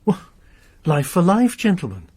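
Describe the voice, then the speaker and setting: An actor playing a grim, pious lodger, a grieving father bent on revenge, who talks in a formal, preaching way with a husky voice. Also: high voice, huge pitch swings, measured pace, darkish tone, no echo, full character voice. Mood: brooding. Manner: formal, sermonizing, quotes scripture. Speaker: lodger under arrest. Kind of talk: radio drama acting.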